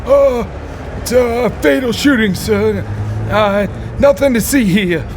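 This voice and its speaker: A high-pitched, folksy police officer acted by a man, halting and plain-spoken. It is a comedy audio drama with clear noise behind the voice. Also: exaggerated voice. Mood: hesitant. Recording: noisy outdoor-sounding background.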